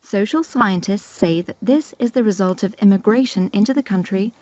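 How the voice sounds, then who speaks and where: Slow scripted woman exam announcer. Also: medium pitch. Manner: slow, clear, even reading. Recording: dull dry lo-fi playback.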